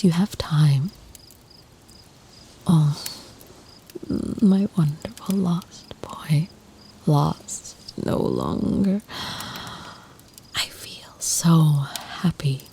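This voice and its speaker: A woman voicing an ancient, tender and protective female vampire in an intimate ASMR roleplay, speaking softly, half in whispers, with formal, deliberate phrasing. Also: sits low, slight background noise. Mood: surprised, tender.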